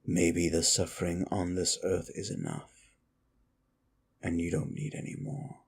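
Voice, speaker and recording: A man playing a wicked, contemptuous immortal demon in an ASMR roleplay, speaking in a theatrical, brooding way with a gravelly voice and deliberate pauses. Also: level tone, exaggerated voice. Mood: brooding, softening. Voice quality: low, gravelly. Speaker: audio roleplay performer. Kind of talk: ASMR roleplay monologue, addressed to the listener.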